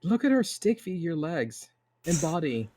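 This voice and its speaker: A man's voice: fairly high pitch, very animated, measured pace, warm tone, slightly rough, dry recording. A man talking casually as a panelist on an online podcast call. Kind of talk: casual chat.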